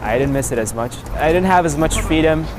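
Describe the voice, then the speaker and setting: A man in his twenties from Indonesia, a native Sundanese speaker, telling his life story in a relaxed way, pausing often on fillers. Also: fairly high pitch, over music, poor audio. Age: 20s. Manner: relaxed, frequent filler pauses. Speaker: interviewee. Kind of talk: casual personal storytelling.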